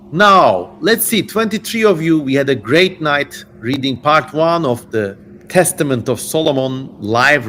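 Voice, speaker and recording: A man's voice: a little high, a bit bright, slight background noise. A man from Turkey with a Turkish accent, hosting a casual livestream in a playfully theatrical way, chatting and reading out viewer comments. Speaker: livestream host and reader.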